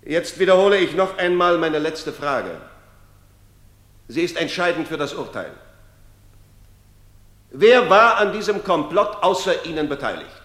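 An actor playing a stern judge who presses a defendant in a radio drama. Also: male voice, on the high side, big pitch swings, a little slow, long pauses. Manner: stern, pressing, then shouting. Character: stern male Nazi-era judge interrogating. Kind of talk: 1950s radio drama acting. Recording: roomy hall reverb.

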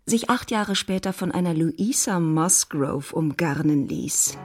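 A woman's voice: on the low side, expressive, measured pace. A woman acting as the composed storyteller of a period novel, giving scripted literary narration in a German radio drama. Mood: measured.

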